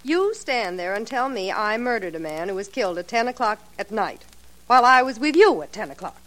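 A woman's voice: medium pitch, very animated, a little fast, a bit bright, rough recording. An actress playing a sardonic young woman killer in a 1940s radio drama, drawling and sarcastic. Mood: mocking. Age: young adult. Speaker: murder suspect.

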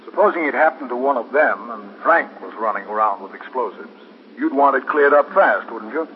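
A male actor plays a middle-aged American police captain in a 1950s radio drama, firm and sober. His medium-pitched voice rings with reverb on a dull old recording with faint hiss. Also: expressive, a little fast, lightly acted. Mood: pressing, firm.

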